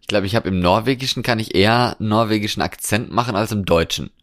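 A man's voice: on the low side, fast talker, fairly bright tone, dry recording, studio quality. A man chatting casually as a podcast co-host, musing in a colloquial way. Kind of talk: casual chat.

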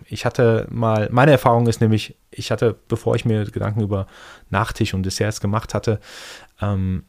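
A man from Iran whose first language is Persian, hosting a casual solo podcast and thinking aloud in a relaxed way. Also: lowish voice. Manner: relaxed, thinking aloud with restarts and soft laughs. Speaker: podcast host.

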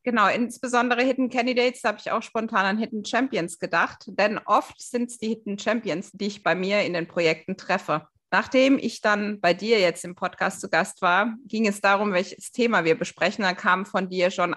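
A woman's voice: medium pitch, normal speed, hardly any pauses, fairly bright tone. A woman hosting a podcast interview in a conversational way. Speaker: podcast host.

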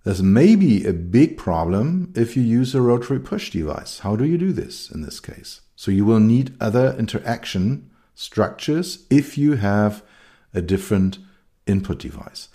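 A man's voice: on the low side, sing-song, measured pace, warm tone. A middle-aged man with a German accent, a consultant giving a thoughtful solo talk with frequent fillers and restarts. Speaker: consultant and keynote speaker.

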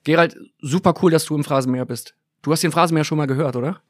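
A man hosting a podcast, upbeat and quick, introducing an episode and interviewing a guest. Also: on the high side, dry recording, clean studio sound. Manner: upbeat, quick-talking host. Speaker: podcast host. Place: small press room in a stadium.

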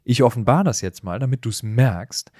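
A man's voice: mid-range voice, moderate pace, a little mellow, no echo, studio quality. A man hosting a podcast, giving casual, direct advice in a coaching manner. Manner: coaching, direct, often laughing.

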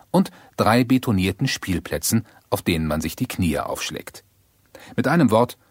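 A man narrating a children's audio play as a wry storyteller, deadpan and husky. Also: low voice, dry recording, clean studio sound. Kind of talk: scripted narration.

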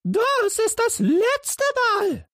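A man's voice: very high-pitched, huge pitch swings, very slow, fairly bright tone, no echo, clean studio sound, voice pushed up, heavily acted. A man voicing three tiny, grumpy red men from a fairy tale, scolding shrilly and indignantly.

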